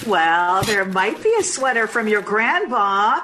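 A woman acting a cheerful, slightly anxious 1950s mother in an old-time radio drama, with a motherly, expressive delivery. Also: medium pitch, measured pace, rough recording. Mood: brisk, motherly.

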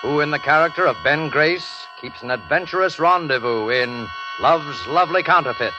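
Higher-voiced man announcing over loud music. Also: moderate pace. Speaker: radio drama announcer. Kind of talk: formal 1940s radio announcing. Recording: dull 1940s radio recording. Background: dramatic music.

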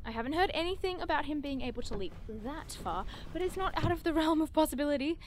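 A woman playing an eager, stubborn cryptid hunter in an audio drama, excitable and insistent. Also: high-pitched, fast talker, slight background noise. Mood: excited.

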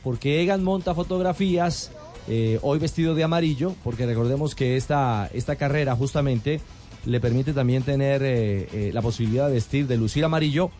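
A man commenting on sports on the radio, halting with many fillers. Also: mid-range voice, warm tone, faint music behind.

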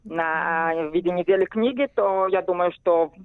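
A woman's voice: lowish voice, normal speed, dry recording. A woman answering interview questions conversationally as a guest over a narrow telephone line. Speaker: interview guest, poet and literary editor. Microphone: phone call.

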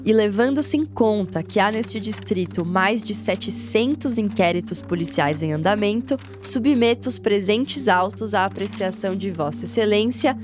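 A woman's voice: medium pitch, moderate pace, few pauses. A woman reading quoted documents aloud in a formal, official-sounding way.

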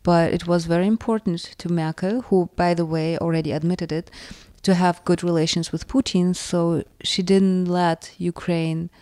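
A woman from the former Soviet Union, a native Russian speaker with a Russian accent, answering interview questions in a reflective way and often pausing to search for words. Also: fairly low pitch, steady tone, warm tone, dry recording. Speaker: author and interviewee.

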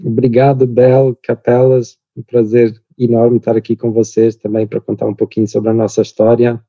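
A man in his thirties from Portugal with a European Portuguese accent, speaking as a podcast guest in a measured way with small restarts. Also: medium pitch, monotone, husky, dry recording, highs cut off. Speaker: podcast guest, company cofounder. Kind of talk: relaxed business podcast conversation.

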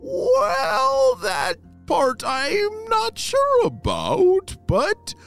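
A woman voicing a polite, shy male monster in a children's story, with drawn-out, wobbly, exaggerated speech over music. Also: very high voice, wildly swooping pitch, pitched above the performer's own voice, full character voice. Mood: musing. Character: gentle slow-talking moon-eating monster, male, polite and shy.

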